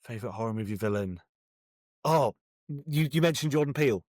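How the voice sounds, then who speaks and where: A British man chats casually as an interview guest. He is jokey and self-deprecating, often circling before he lands on an answer, in a medium-pitched voice with a dry sound. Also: expressive, fairly quick, darkish tone, no echo. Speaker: guest author.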